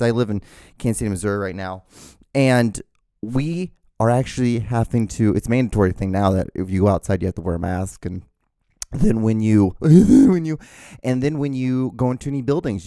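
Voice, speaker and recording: A man's voice: mid-range voice, mellow, no room sound, clean studio sound. A man from Honduras hosting his own podcast, talking casually and ranting with lots of profanity and stammered restarts. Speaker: podcast host. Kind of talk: casual solo podcast monologue.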